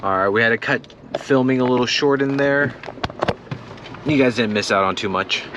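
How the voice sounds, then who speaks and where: Casual vlogging dad, music behind. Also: male voice, mid-range voice, moderate pace, highs cut off. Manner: informal, addresses viewers as guys. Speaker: family vlogger, father. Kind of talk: casual vlog chat to viewers.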